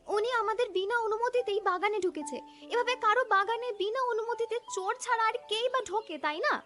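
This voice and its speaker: A woman voicing a sharp-tongued, suspicious young village wife in a cartoon, argumentative and accusing. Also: very high voice, brisk, faint music behind. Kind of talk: cartoon voice acting.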